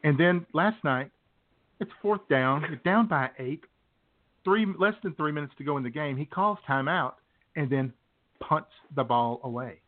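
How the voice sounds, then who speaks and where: A man's voice: on the high side, unhurried. A man co-hosting a radio show, chatting casually about sports. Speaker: co-host of a comedy radio show. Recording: tinny phone-like narrow band.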